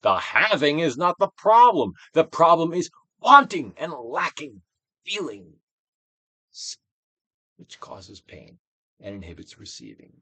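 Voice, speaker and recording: A man reads a self-help audiobook as a dramatic actor would. His mid-pitched voice ranges widely, with emphatic declarations set against hushed phrases. The sound is dry, with very little room in it. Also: no room sound. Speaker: actor reading a book aloud.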